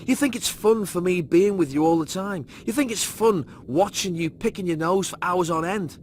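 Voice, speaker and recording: A man's voice: sits high, moderate pace, lightly acted. A man voicing an exasperated, sarcastic young slob in a sci-fi comedy audiobook. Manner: griping, slangy, combative. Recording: noisy background.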